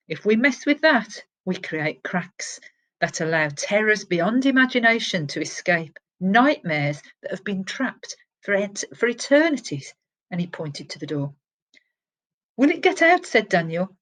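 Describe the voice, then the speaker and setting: A woman, a teacher, reading a chapter of a children's novel aloud with expressive storytelling that drops to a hush at tense moments. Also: mid-range voice.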